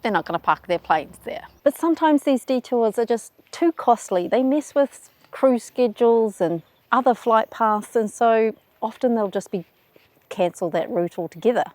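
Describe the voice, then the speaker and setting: A woman with a New Zealand accent walks viewers through travel news in a brisk, wry, plainspoken way. Her voice sits on the higher side. The recording is dry and close, with no room sound. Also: animated, darkish tone, no room sound. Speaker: travel video host. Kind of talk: scripted explainer.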